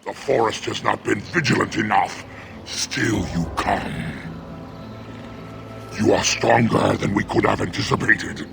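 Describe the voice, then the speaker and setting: A fierce werewolf guardian from a fantasy video game. The voice is a heavy, gravelly growl that moves slowly, with solemn menace and loyalty to its kind. Game music plays clearly underneath. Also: male voice, mid-range voice, full character voice. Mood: menacing. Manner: slow, solemn, threatening speech.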